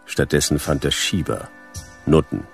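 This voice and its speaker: A world-weary hardboiled private eye, with a very deep voice full of gravel. He talks in clipped, laconic phrases, as in a noir radio play, with music behind him. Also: a little slow, heavily acted. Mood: brooding, laconic. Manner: clipped, laconic, dry delivery.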